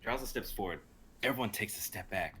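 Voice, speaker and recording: Bright-voiced young man narrating. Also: on the low side, expressive, a little fast, a little hiss or hum. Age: young adult. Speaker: narrator, stream performer. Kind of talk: dramatic narration of an amateur script.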